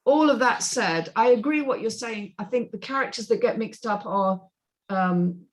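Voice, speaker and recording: A woman's voice: a little high, lively intonation, normal speed, poor audio. Opinionated woman giving blunt critique. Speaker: script reading panelist. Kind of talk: unscripted critique in a panel discussion.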